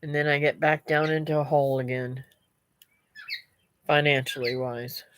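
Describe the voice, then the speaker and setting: A woman's voice: sits low, fairly even intonation, moderate pace, clean tone. A middle-aged woman, chatting casually with her live-stream viewers in a rambling way, her voice a little stuffy and nasal. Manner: chatty, rambling, talks to viewers as "guys" and "y'all". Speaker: homestead vlogger reading live comments.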